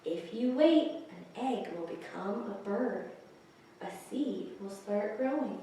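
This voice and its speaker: A woman reading picture books aloud to young children, pausing to explain the pictures and ask questions. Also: on the high side, expressive, warm tone. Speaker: children's librarian, story time host. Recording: echoey, distant microphone, faint hiss.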